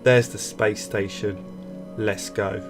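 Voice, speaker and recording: A curious young girl astronaut, played by a man reading aloud from a children's story. She is keen, inquisitive and matter-of-fact, with music clearly behind the voice. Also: lowish voice, little pitch movement, takes it slowly. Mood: focused.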